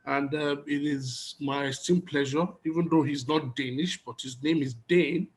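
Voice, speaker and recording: A man's voice: fairly high pitch, steady tone, moderate pace. A man with a Nigerian accent, moderating an online panel and introducing guests in courteous, formal phrases. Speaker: conference moderator. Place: online video conference.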